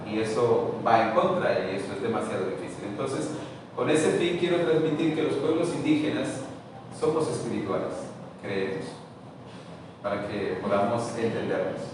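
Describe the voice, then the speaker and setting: A man's voice: mid-range voice, noisy background. Earnest man preaching in an echoey hall. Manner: earnest, preacherly, rising fervor in prayer. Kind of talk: spiritual address and spoken prayer. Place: meeting room. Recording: echoey hall, picked up from far off.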